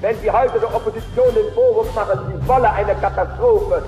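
A man's voice: sits high, fairly even intonation, fairly quick, warm tone, muffled recording, soft background music. A man delivering an accusing, declamatory political speech as an opposition politician.

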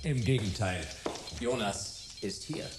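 A man's voice: lowish voice, takes it slowly, heavy background noise. An actor playing a cool, dryly ironic male private detective in a German sci-fi crime radio drama, laconic and commanding. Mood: menacing, dry.

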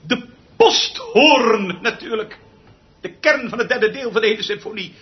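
An actor playing a pompous, exasperated, tyrannical perfectionist conductor in a radio play, emphatic and theatrical. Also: male voice, sits high, a little slow. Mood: indignant. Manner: theatrical, emphatic, swinging from muttering to bellowing. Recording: muffled, noisy old radio play recording.

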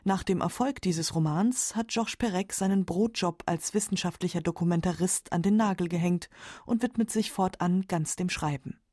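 A woman's voice: lowish voice, normal speed, dark tone, no echo, studio quality. A woman narrating a scripted radio documentary in a composed, factual way.

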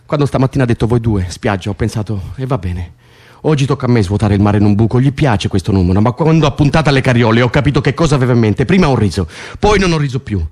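A man's voice: mid-range voice, lively intonation, quick pace, a little mellow, natural voice. A man acting in an Italian radio drama, playing a weary, driven political prisoner who bosses his cellmate with wry, foul-mouthed exasperation. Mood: weary, rueful.

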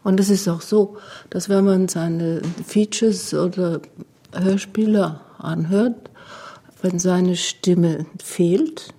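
A woman's voice: lowish voice, steady tone, slow, dark tone, breathy edge. A woman giving an unscripted recollection as an interviewee.

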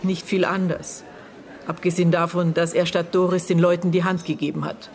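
Radio drama: actress as middle-aged aunt of a dead girl, lowish female voice, terse, halting, guarded, bitter edge. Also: flat delivery, a little mellow, close to an everyday voice. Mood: reflective, guarded. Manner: terse, halting, broken phrases with thoughtful pauses. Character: murdered girl's aunt, bitter, guarded, searching her memory. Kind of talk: naturalistic German radio drama acting.

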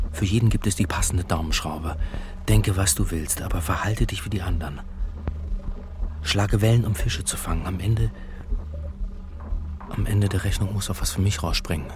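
Actor as deep-voiced, calculating business aide. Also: male voice, over music, close to an everyday voice. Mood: cold, scheming. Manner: terse, deliberate, aphoristic. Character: dry, wary, calculating male business aide. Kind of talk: radio drama acting.

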